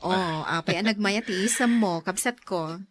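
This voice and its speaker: A woman's voice: mid-range voice, moderate pace, dry recording, close to an everyday voice. An actress playing a young man's teasing, probing sister in a radio drama. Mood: curious.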